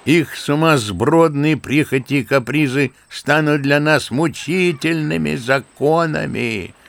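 An actor playing an old, kindly, sentimental general who speaks fervently and fondly, in a radio play. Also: male voice, a little high, takes it slowly, creaky. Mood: musing fervent. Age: older. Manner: fervent, musing, fond and paternal. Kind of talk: theatrical radio play acting.

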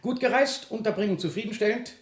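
An actor playing a courteous police superintendent in a 1970s radio crime drama, formal and brisk but awkward on delicate matters. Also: male voice, high-pitched, lightly acted. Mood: brisk, welcoming.

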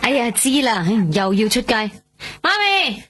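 Music sits behind a young woman in a Cantonese radio drama from Hong Kong. The voice is fairly high and very unhurried, uneasy, as if thinking aloud. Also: big pitch swings. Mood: mildly exasperated. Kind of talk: radio drama acting, murmured monologue to self. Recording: music bed under the voice.

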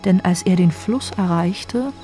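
A woman's voice: on the low side, measured pace, dark tone. Woman narrator over music. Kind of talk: dramatic scripted story narration.

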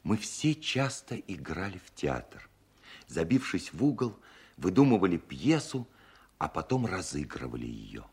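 A man's voice: low voice, sing-song, a little slow, a little mellow, slightly rough, full character voice. An actor narrating a 1970s radio play as a grown man reminiscing about his childhood. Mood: reflective.

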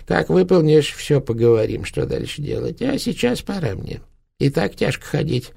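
A rotting old witch grandmother, as a male narrator puts her on, her voice rattling like old tin and thick with gravel. She sneers and scolds, her high voice swinging wildly up and down. It comes out husky and hoarse, spiteful from the grave. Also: big pitch swings, normal speed, a little mellow, full character voice. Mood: sly. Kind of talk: audiobook narration.

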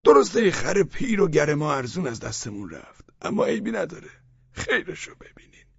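A crafty old village peasant with a raspy, gravelly voice, pitched high and swooping wildly up and down as he haggles. The sound is dry and a little dull, like a lo-fi recording. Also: big pitch swings, fairly bright tone, dry recording, heavily acted. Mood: sly. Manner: haggling, wheedling, insistent. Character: stubborn, cunning old male village peasant.

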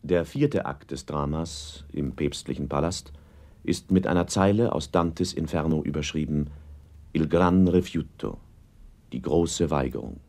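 A man playing a solemn announcer who introduces an act of a drama with measured delivery. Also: very deep voice, warm tone. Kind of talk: scripted literary narration.

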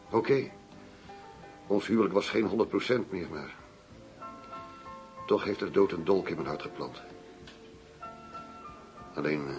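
A man's voice: low voice, darkish tone, rough recording. An actor playing a controlled male undercover agent in a radio drama, speaking in a terse, businesslike, guarded way. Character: controlled undercover agent posing as shady arms-dealing doctor.

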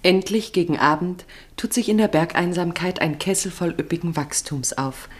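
A female narrator with a low voice for a woman. She reads scripted radio play narration in a measured, serious, literary style.

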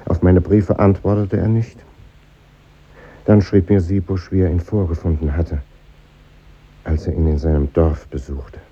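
A man's voice: deep voice, fairly even intonation, unhurried, pauses often, mellow, husky. An actor playing a reflective middle-aged man, narrating his memories in a 1950s radio drama. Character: reflective middle-aged male composer recalling his schoolmates. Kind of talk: scripted first-person radio drama narration. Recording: muffled old radio recording.

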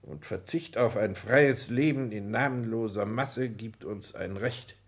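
Slow, formal old count. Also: male voice, fairly low pitch. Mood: haughty, reserved. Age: older. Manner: formal, measured, clipped aristocratic diction. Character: reserved, haughty aristocratic count, father of a marriageable daughter. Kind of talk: 1960s German radio play acting.

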